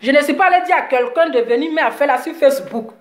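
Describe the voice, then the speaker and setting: A high-voiced woman rants heatedly to her online audience, circling back to the same points over and over. She sounds a little congested from a cold, and her voice rings in an echoey room. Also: animated, fast talker. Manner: heated, repetitive, scolding. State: slight cold. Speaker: social media commentator. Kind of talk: live video rant to followers.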